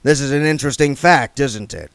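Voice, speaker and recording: A man voicing a sardonic, menacing police chief who speaks dryly and slowly. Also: medium pitch, creaky, clear, resonant tone, dry recording, pitched above the performer's own voice, natural voice.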